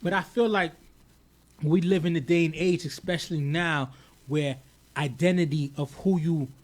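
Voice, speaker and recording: A man, born in the United States to Haitian parents, co-hosting a podcast in an animated, emphatic way, often repeating words as he starts a thought. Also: a little high, normal speed. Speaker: podcast co-host. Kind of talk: casual podcast discussion.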